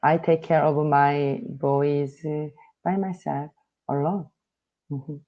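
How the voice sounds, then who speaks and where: A woman in her thirties from South Korea with a Korean accent, speaking halting learner's English full of fillers on a video call. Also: sits low, fairly even intonation, highs cut off. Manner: halting, with frequent uh fillers and searching for words. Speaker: English student. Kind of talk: casual online English conversation practice.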